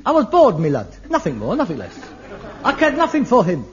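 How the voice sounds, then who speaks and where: A man's voice: high-pitched, wildly swooping pitch, a little fast, some chatter behind, slight background noise. Guy hamming up a snooty smug murderer, grand and haughty, dull old tv audio. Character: arrogant smug male murderer on the stand.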